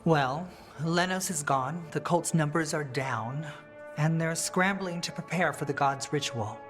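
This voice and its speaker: A woman's voice: deep voice, a little slow, soft background music. A woman playing an earnest, idealistic noble reformer in a video game, articulate and steadfast. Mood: focused. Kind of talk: video game character dialogue.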